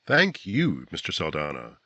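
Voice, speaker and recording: An actor playing a polished, earnest 1950s radio announcer, delivering scripted copy in a formal, emphatic way. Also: male voice, low voice, huge pitch swings, normal speed, pushed and bright, no room sound, highs cut off, heavily acted.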